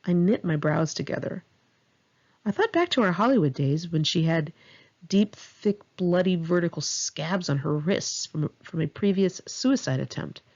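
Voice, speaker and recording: An American woman narrating her own first-person memoir as an audiobook, in an expressive, confessional storytelling manner. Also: fairly low pitch, unhurried, highs cut off. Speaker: author reading own memoir.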